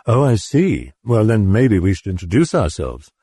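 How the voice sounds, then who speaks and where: A male narrator voicing a calm, probing priest who questions patiently and carefully. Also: fairly low pitch, normal speed, husky, no room sound, studio quality, voice pushed up.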